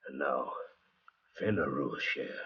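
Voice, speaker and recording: A man's voice: a bass voice, expressive, slow pace, slight background noise, exaggerated voice. A man playing a bitter, thirst-crazed castaway in old radio suspense style, sardonic and mock-polite, on a muffled vintage recording. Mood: mock-polite menace. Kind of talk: 1940s-50s radio suspense acting.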